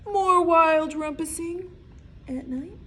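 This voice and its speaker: A woman reading a picture book aloud to young listeners, theatrically, with dramatic hushes and drawn-out words. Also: very high voice. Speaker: children's read-aloud storyteller.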